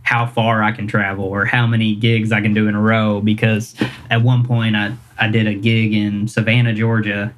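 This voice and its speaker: A man's voice: on the low side, little pitch movement, moderate pace, a little hiss or hum, low-quality recording. A man, a singer-songwriter chatting casually in an interview, rambling and self-deprecating with lots of fillers. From Kentucky, US.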